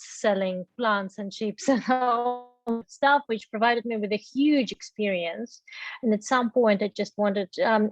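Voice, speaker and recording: Middle aged woman guest on online interview, kinda halting, searches for words, dry but muffled lofi sound. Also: mid-range voice, no echo. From grew up in embassies, mostly in the Middle East. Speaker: guest, venture capital partner. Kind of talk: conversational interview answers, personal career story. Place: online video meetup. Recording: dull, lo-fi online call audio.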